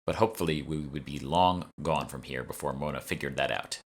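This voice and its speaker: Low-voiced man narrating an audiobook. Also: a little fast. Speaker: author reading his own book. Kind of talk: scripted first-person audiobook narration.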